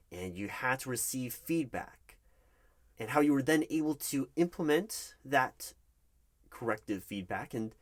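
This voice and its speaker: A man acting as a nervous, polite recruiting manager in a one-sided ASMR job interview roleplay, speaking haltingly and questioningly. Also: medium pitch. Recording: clear background noise, keyboard typing. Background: loud computer keyboard typing and clicks.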